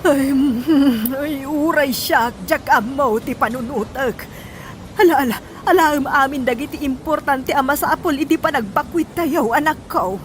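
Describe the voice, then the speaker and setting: Radio drama grandmother played by a woman: older, fretful, chiding, Ilocano accent, high pitch, faint noise. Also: moderate pace, natural voice. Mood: alarmed, fussing.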